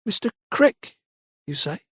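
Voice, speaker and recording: A man's voice: unhurried, fairly bright tone, no echo, close to an everyday voice. A man voicing a timid, deferential young female maid with rustic, uneducated speech. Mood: timid, earnest. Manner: hesitant, deferential.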